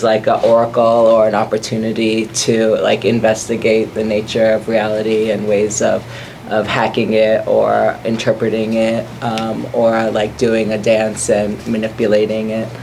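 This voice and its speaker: Low-voiced guy on an art panel, kinda monotone, says "like" constantly and keeps restarting. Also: a little hiss or hum, low-quality recording. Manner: halting, full of "like" fillers. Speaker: panelist artist. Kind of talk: panel discussion.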